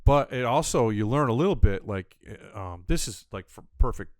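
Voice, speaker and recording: A man's voice: mid-range voice, expressive, brisk, darkish tone, no room sound. A man talking casually as a comedian guest, telling anecdotes in colloquial phrases and acting out short quotes. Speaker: comedian and festival owner, podcast guest. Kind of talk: casual anecdotal interview chat.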